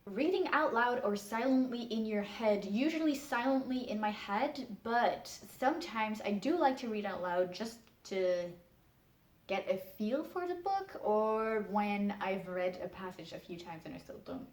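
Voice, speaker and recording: A young woman, a book vlogger chatting frankly to camera and keeping her voice in a low register. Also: lively intonation, unhurried, echoey room. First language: Dutch. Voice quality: speaks in a low register in English. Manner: chatty, frank, laughs often, emphatic refusals. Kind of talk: casual answers to a tag video, talking to camera. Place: room at home.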